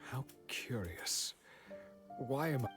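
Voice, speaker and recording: A man's voice: mid-range voice, animated, slow. A man playing a brooding, lovelorn character who muses hesitantly to himself in a video game. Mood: puzzled, musing. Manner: hesitant, musing. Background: game music.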